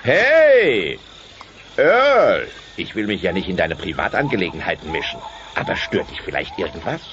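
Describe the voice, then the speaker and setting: A brash, leering dinosaur pal in a cartoon audio play. His low voice swoops wildly as he meddles cheekily. The old recording is dull and noisy. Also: wildly swooping pitch, moderate pace, a bit bright, full character voice. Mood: nosy. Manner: cheeky, exclaiming, swoops in pitch. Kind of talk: German children's audio drama acting. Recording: dull, noisy cassette transfer.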